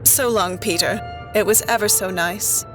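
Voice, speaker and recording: Actress, breezy career woman, detached, matter-of-fact, medium pitch, radio drama over music. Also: moderate pace, close to an everyday voice. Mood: politely dismissive. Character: brisk, self-denying career woman hiding old heartbreak.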